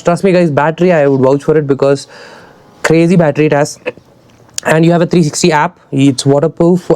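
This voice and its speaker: An Indian-accented man talks casually through a gear review. His voice sits a little high for a man but stays soft and mellow. The sound is dry, with very little room, and he speaks straight to his viewers in a conversational way. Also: dry recording. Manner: conversational, addressing viewers directly as guys. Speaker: motorcycle gear reviewer and vlogger. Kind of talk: casual product review to camera.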